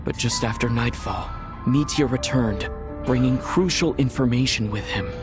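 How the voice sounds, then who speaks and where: A man playing a young male traveler in a game, recounting a mystery in a measured, earnest, reflective way over music. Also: mid-range voice, lightly acted. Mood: somber, reflective. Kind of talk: scripted game narration, inner monologue.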